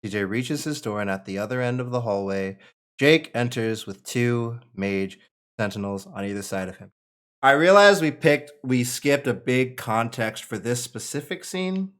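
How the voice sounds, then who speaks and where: A man's voice: mid-range voice, animated, normal speed, fairly bright tone. A man narrating stage directions at a table read in an informal, conversational way. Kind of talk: reading screenplay stage directions aloud, with casual asides.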